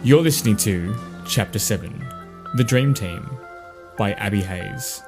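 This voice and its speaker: A man's voice: fairly low pitch, expressive, a little slow. A man hosting a fiction podcast, reading a scripted introduction. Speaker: podcast host. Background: guitar music.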